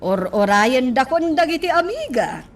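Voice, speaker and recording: A Filipino woman playing an excitable, nervous, wheedling wife in a radio drama, with theatrical exclamations. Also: sits high, normal speed, recorded from a distance. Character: excitable, nervous, pleading wealthy wife learning to drive.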